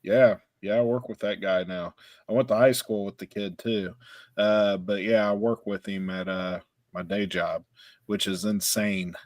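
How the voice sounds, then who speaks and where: A man's voice: lowish voice, fairly even intonation, a little slow, a little mellow, no room sound. A man co-hosting a podcast, telling stories in laid-back casual chat with slang. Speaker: podcast co-host.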